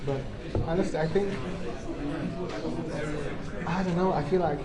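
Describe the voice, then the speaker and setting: This young man speaks English, in a slightly high voice full of fillers and repeated yeahs. He is a university tutor talking a class through a problem. The sound is roomy, with a crowd of students chattering around him. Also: measured pace. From Hungary. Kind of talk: casual chat, then tutorial teaching. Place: echoey classroom.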